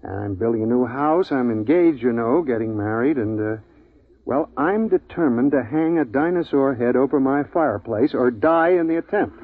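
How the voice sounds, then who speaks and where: An actor playing a meek, earnest, bookish man who longs for adventure, speaking haltingly in a 1950s radio drama. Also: mid-range voice, expressive, no room sound, natural voice. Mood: nervous, earnest. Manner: hesitant, apologetic, halting. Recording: thin, phone-like 1950s radio recording.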